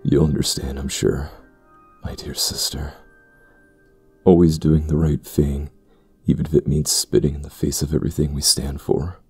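An ominous fantasy ritualist, extremely deep and very husky. He speaks solemnly and darkly, brooding in a mellow gravelly rumble over faint music. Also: flat delivery, normal speed, no room sound, full character voice. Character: ominous brooding male ritualist addressing his estranged sister. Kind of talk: dramatic fantasy audio roleplay monologue.

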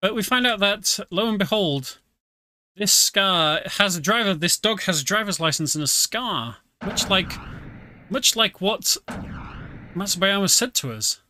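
A man's voice: high voice, lively intonation, a little slow, pushed and bright. Chatty man narrating a game. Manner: chatty, explains the story as he plays. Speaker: game streamer. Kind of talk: casual gameplay commentary. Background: game music.

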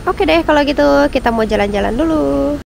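A high-pitched woman narrating her road trip in a friendly, chatty way. She talks to her viewers like friends, upbeat and easygoing, with a bit of music playing softly underneath. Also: a little fast, vocal fry. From Indonesia. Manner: chatty and upbeat, addresses viewers as guys, drops English words in. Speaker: travel vlogger. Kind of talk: casual travel vlog narration.

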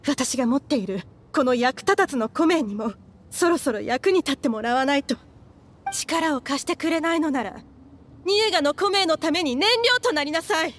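A woman's voice: sits high, lively intonation, moderate pace, slight background noise. A woman playing a grieving, obsessive mother in a Japanese anime game, speaking with fervent emotion. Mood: grim resolve. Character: grieving, obsessive mother desperate to revive her daughter.

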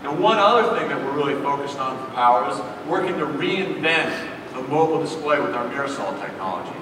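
A man's voice: mid-range voice, lively intonation, brisk. A man on a conference stage giving a polished, promotional keynote presentation. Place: large conference hall stage. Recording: echoey hall from far off with noise.

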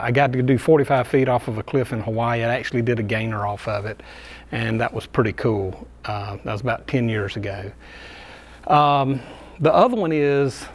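An older man from Georgia, the managing partner, chatting casually with a room of summer interns in a conference room. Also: medium pitch. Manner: relaxed, folksy, gestures broadly while speaking. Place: carpeted conference room with a seated group. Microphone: camera on a tripod across the room.

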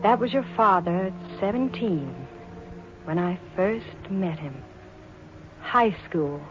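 A woman's voice: medium pitch, lively intonation, slow pace. An actress playing an earnest, inquisitive woman who narrates and acts in a 1940s radio drama. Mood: reflective. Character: earnest, inquisitive woman recalling her youthful courtship. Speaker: narrator and leading lady. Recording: muffled 1940s radio recording, music.

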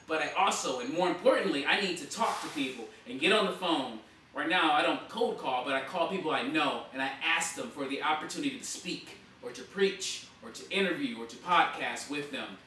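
An animated man in his thirties talks casually to camera from a dining room, his voice bright and forward. The mic sits some way off, so his voice carries a strong bouncing room echo. His delivery is lively and conversational. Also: a little high, fairly quick, slight background noise. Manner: animated, gesturing with both hands. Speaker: blogger and author. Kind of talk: casual vlog talk to camera. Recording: echoey room from far off.

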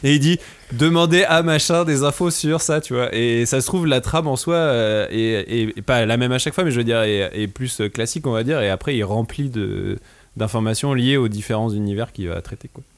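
Rambling mid-pitched podcast co-host. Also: male voice, a little fast. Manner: rambling, chatty, lots of "tu vois". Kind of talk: casual podcast discussion.

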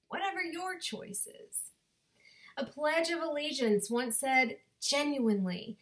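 A woman in her thirties performing her own spoken word poem in a deliberate, rhythmic way, recorded on a webcam in her bedroom. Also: on the high side. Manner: slow, deliberate rhythmic delivery with dramatic pauses. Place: bedroom at home. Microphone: webcam at desk distance.

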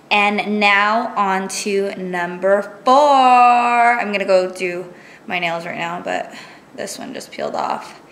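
A young woman in her twenties chatting to a handheld camera at arm's length, a little raspy from just waking, upbeat with many fillers. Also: mid-range voice, a bit bright. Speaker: vlogger. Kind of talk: casual vlog chat to camera. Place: apartment rooms, gym and car. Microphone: handheld fisheye action camera at arm's length.

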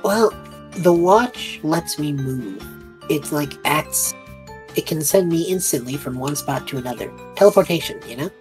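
A woman playing a tabletop roleplaying game, speaking casually and haltingly in character. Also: low voice, expressive, music in the background.

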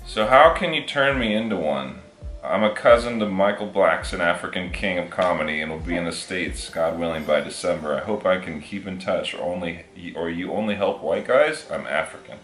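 Deep-voiced man bantering in a roomy space. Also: moderate pace, a bit bright. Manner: blunt, joking, slangy. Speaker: vlog co-host, model. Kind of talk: casual banter, reading messages aloud. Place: small room indoors, on a couch. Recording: roomy echo, music underneath.

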